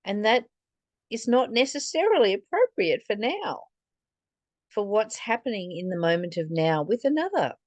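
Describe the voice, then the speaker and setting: A woman reading a spiritual text and commenting on it reflectively, in a deliberate, earnest manner, like a teacher addressing the listener. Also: mid-range voice, sing-song, no echo. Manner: deliberate, earnest, posing rhetorical questions to the listener.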